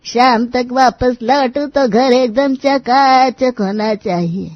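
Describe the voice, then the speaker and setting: A woman voicing a bossy, demanding old grandmother-in-law in a cartoon moral story, imperious and scolding, with drawn-out words. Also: on the high side, dry recording, muffled recording. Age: older.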